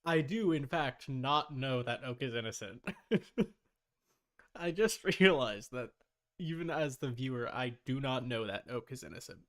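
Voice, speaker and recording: A man's voice: medium pitch, lively intonation, measured pace, a bit bright. A man chatting casually on a live stream, reacting to a video bluntly with plenty of swearing and talking back to viewers.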